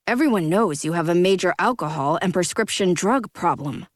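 A woman voicing a calm, protective young male warrior who speaks quietly and flatly. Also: fairly low pitch, fairly quick, dry recording, studio quality, natural voice.

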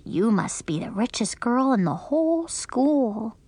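Woman doing a soft, breathy schoolboy. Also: a little high, sing-song, measured pace, no echo, full character voice. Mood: awed. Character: small impressed schoolboy.

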